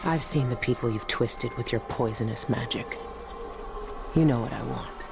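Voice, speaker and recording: An actress playing a hardened, determined assassin in a video game, speaking tersely and defiantly. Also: female voice, a bass voice, normal speed, gravelly. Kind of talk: dramatic video game acting. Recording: loud music behind the voice. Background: loud game music.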